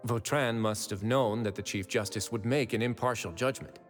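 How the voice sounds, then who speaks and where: A man voicing a calm, wry prison warden. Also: fairly low pitch, moderate pace, close to an everyday voice. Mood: explaining. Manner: calm, dry, confident. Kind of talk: video game voice acting. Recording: game audio with long reverb and music.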